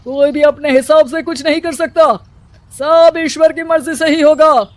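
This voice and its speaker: A performer voicing a stern, domineering male village headman in an animated moral story, commanding and scolding. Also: sits high, a little slow, dry recording.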